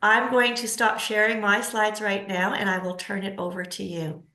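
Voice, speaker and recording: A woman's voice: medium pitch, flat delivery, normal speed, bright, forceful tone, echoey room. A woman hosting a live online class interview, introducing a guest with frequent filler sounds over a video call. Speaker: host.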